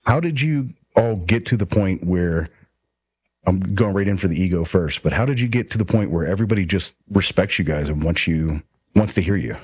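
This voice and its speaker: A man's voice: deep voice, expressive, brisk, a little mellow, husky, dry recording. A man in his forties hosting a casual band interview in a living room, rambling and friendly with many restarts. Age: 40s. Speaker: podcast host.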